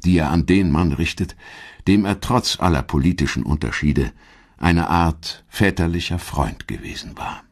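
A man reading a scripted audio documentary in a measured, dramatic storytelling manner, with a husky voice. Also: sits low. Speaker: documentary narrator. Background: background music.

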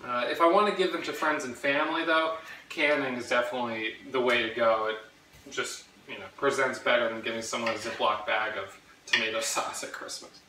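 A man's voice: medium pitch, a little fast, distant mic, background noise. A man in his thirties in a casual cooking vlog, rambling with many fillers as he chops, recorded in his home kitchen by a camera across the room. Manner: rambling, relaxed, many fillers while chopping. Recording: echoey kitchen, voice from off-mic.

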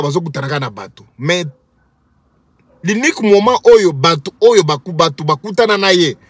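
A man's voice: fairly high pitch, no room sound. A man, giving a scornful, insulting commentary from inside a parked car to his phone camera. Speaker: commentary vlogger. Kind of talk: mocking commentary vlog in Lingala and French. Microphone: phone camera at arm's length.

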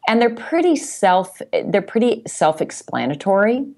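A woman's voice: medium pitch, big pitch swings, measured pace, darkish tone. A woman speaking as a podcast guest in casual conversation, explaining things reflectively step by step. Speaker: podcast guest, sales executive.

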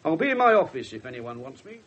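A man playing a gruff, wry, irreverent English police inspector in a radio drama, blunt and husky. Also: a little high, sing-song, fast talker, a bit bright, muffled recording. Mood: wry, grumbling. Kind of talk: 1970s radio drama acting.

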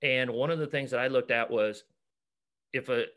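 Bright-voiced middle-aged American coach. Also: male voice, medium pitch, moderate pace. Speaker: basketball coach and clinic organizer. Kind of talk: casual video-call interview. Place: cluttered room at home, on a video call. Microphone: video call.

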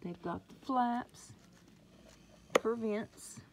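A woman chatting excitedly about her seedlings, sometimes dropping to a murmur, recorded on a handheld phone indoors. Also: a little high, extremely slow, gravelly, noisy background. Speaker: gardening vlogger. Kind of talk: casual home gardening vlog. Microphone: phone camera held close.

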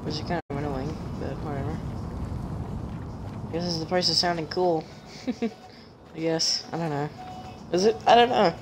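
A woman with a deep voice, chatting casually as she plays a game on stream, joking at herself and humming while she searches. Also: measured pace, pauses often, noisy background. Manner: chatty, self-mocking, giggly, hums while searching. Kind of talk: casual gameplay commentary.

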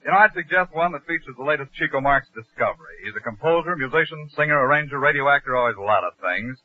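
Animated American radio host, vintage broadcast. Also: male voice, on the high side, quick pace, no echo. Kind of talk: 1940s radio announcing and comic banter. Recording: old muffled radio broadcast.

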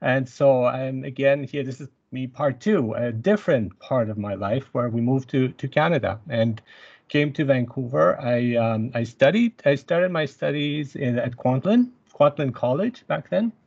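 Halting man on a dull video call. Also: medium pitch, vocal fry, a little mellow. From born in Iran, grew up in Germany. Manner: halting, many fillers and restarts. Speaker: university instructor giving a talk. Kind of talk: presentation over video call. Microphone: video call webcam mic.

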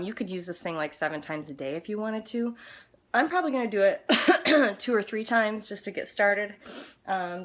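A woman in her thirties, a little hoarse from a cold, chatting casually with lots of ums as she shows a home remedy in her kitchen. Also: on the low side, a bit bright. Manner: chatty, with many ums and uhs. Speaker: natural health blogger. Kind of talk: casual how-to vlog. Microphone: built-in camera mic, close and sometimes farther off.

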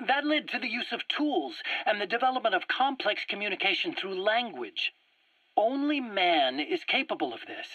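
A man's voice: high voice, bright, forceful tone, dry recording, exaggerated voice. A man acting a proud, anxious scientist in a video game, earnestly explaining and defending himself. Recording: dull, dry radio-like cassette recording.

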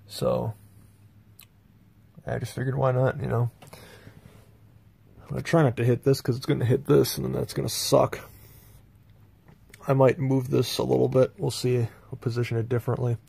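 An American man with a slightly gravelly voice giving a casual, halting, rambling video tour indoors on a handheld phone. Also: mid-range voice, little pitch movement, warm tone. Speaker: host, hobbyist collector. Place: small bedroom, indoors. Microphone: handheld phone, speaker behind the camera.

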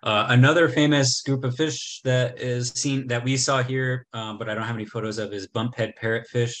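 A man's voice: medium pitch, steady tone, moderate pace, fairly bright tone. Man giving a chatty online slideshow talk. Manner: chatty, many ums and restarts. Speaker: travel presenter. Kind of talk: casual slideshow talk over video call. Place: indoor room, remote talk. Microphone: webcam video call.

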